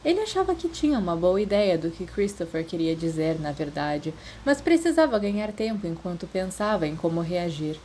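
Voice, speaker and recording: A woman's voice: lowish voice, big pitch swings, moderate pace, few pauses, darkish tone, a little hiss or hum. A woman with a Brazilian accent reading scripted fiction as an audiobook narrator.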